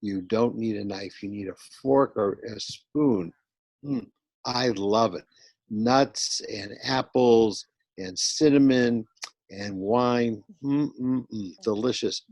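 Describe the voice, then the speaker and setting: A man speaking as a rabbi to children, casually and haltingly, repeating simple phrases. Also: fairly low pitch, darkish tone, no echo. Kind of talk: casual talk to children in an online class.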